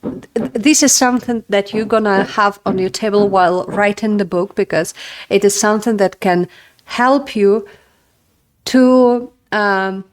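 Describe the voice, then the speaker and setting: A woman's voice: mid-range voice, measured pace. Chatty woman, Russian native speaker. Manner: chatty, filler-heavy, frequent "like". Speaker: writing video host. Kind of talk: casual book review to camera.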